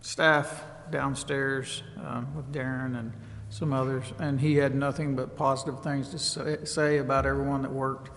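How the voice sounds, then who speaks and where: A man speaking haltingly as a council member, giving an informal report at a public meeting. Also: mid-range voice, little pitch movement, a bit bright. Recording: reverberant chamber with background noise.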